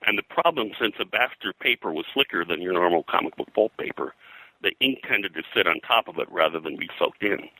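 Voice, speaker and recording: A man's voice: sits low, animated, a little fast, sharp tone, no echo, rough recording. An older man reminiscing as an interview guest, explaining things in a discursive way and often breaking off to rephrase. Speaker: interview guest, veteran comic book colorist.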